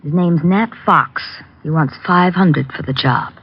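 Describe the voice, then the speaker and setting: An actress playing a scheming, domineering secretary and mistress in old-time radio drama, insistent and coaxing, on a muffled vintage recording. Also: female voice, on the low side, unhurried, breathy edge. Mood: impatient, demanding. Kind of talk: 1940s-50s radio drama acting.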